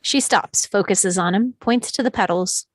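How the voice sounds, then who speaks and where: A woman's voice: medium pitch, normal speed, dry recording. A woman reading stage directions as the narrator of a live script table read.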